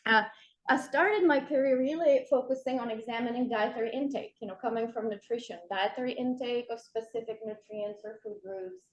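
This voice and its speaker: A woman's voice: a little high, brisk. A middle-aged woman with a Czech accent gives a keynote talk in a lecture room, full of ums and small asides. The recording sounds dull and lo-fi. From Czech Republic. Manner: many fillers, warm self-deprecating asides. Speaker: nutrition professor.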